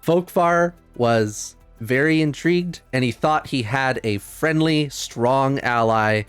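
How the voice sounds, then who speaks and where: A man playing a tabletop game, chatting casually and chiming in with animated remarks. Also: medium pitch, slow pace, clean tone, dry recording, faint music behind.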